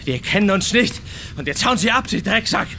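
An actor playing an agitated, defiant man with a secret, speaking haltingly in a German radio crime drama. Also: sits high, expressive, noisy background. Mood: defiant, angry.

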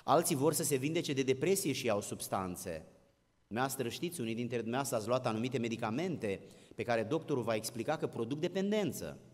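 A man's voice: mid-range voice, lively intonation. A man preaching a sermon in a plain-spoken, didactic manner, addressing his listeners directly. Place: large indoor hall with long reverb. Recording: large reverberant hall.

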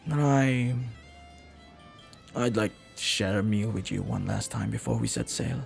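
A man playing a flirty, lovelorn pirate captain in a romantic audio roleplay, speaking softly and earnestly with hesitant, lingering pauses. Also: on the low side, wildly swooping pitch, vocal fry, soft background music, exaggerated voice. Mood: tender, pleading.

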